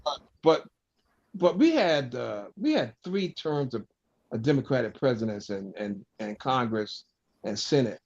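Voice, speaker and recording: A man's voice: medium pitch, very animated, unhurried, a little mellow, muffled recording. A man arguing in an impassioned, insistent way in a panel discussion, often repeating himself. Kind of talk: heated political discussion.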